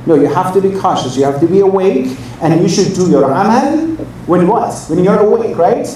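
A man gives a storytelling sermon, throwing out rhetorical questions and then sinking into quiet asides. He sounds distant from the microphone, and the room rings with heavy echo. Vehicle noise is clearly audible underneath. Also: sits high, moderate pace. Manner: animated, swings from loud questions to quiet asides. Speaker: religious speaker addressing young listeners. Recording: very echoey room, far from mic, vehicle noise.

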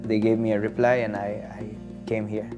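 A man in his early twenties from Tanzania, speaking as an interview guest in a measured, hesitant and earnest way. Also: on the low side, level tone. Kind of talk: studio interview, personal reflection. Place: studio. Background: plucked guitar music.

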